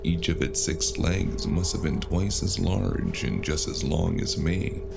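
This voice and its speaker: A man's voice: sits low, measured pace, vocal fry, very noisy, full character voice. A man playing a brooding first-person narrator, reading scripted narration in a cyberpunk audio drama. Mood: grave. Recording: loud music behind the voice.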